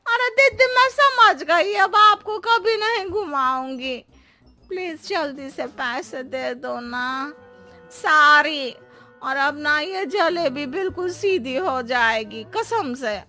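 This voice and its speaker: A woman voicing a cheeky young housemaid in a cartoon story, talking in a drawn-out, whiny, roundabout way. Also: high-pitched, lively intonation, bright, forceful tone, soft background music, full character voice. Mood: exasperated pleading. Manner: drawn-out, whiny, evasive wheedling.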